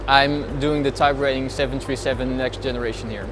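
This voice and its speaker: A man's voice: mid-range voice, steady tone, brisk, music in the background. A man in his late twenties from the Netherlands, a pilot trainee giving a brief, simply phrased interview testimonial. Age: 20s.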